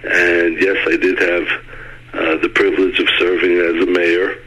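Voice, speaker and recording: Middle-aged brooklyn guy on a phone line, really low voice, bright but husky, earnest, keeps restarting sentences. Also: flat delivery, measured pace. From United States (Brooklyn). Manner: earnest, repeats words when starting sentences. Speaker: guest, former mayor. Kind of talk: interview over the phone.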